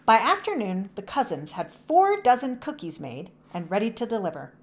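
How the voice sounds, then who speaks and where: A woman reading a children's story aloud as narrator, in an expressive, animated storytelling manner. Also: mid-range voice, moderate pace.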